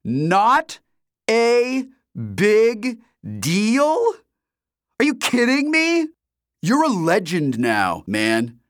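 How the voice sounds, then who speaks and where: A man voicing an excitable young man, the narrator's loud, gushing best friend, shouting over the top with excitement. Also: high-pitched, extremely slow, no echo, studio quality, voice pushed up, exaggerated voice. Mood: excited. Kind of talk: audiobook character voice.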